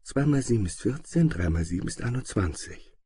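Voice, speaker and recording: A man voicing a brave, stubbornly truthful little princess in a storybook, speaking neatly and with quiet defiance. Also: on the low side, expressive, a little fast, breathy edge, dry recording, voice pushed up. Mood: careful, singsong. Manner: neat, melodious, quietly defiant.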